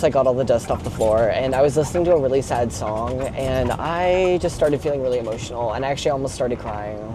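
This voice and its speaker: A young man chatting casually and confessionally while driving a truck, in an informal, sweary, rambling way peppered with "like". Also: medium pitch. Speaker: vlogger. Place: inside a moving box truck. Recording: clear vehicle and road noise. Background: engine and road noise.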